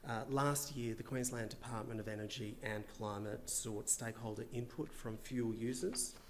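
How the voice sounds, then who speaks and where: A man, a government official, giving a measured conference presentation with a slightly hoarse, tired voice and frequent filler words. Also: medium pitch, slight background noise. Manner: measured, partly read remarks with frequent uhs. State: losing his voice.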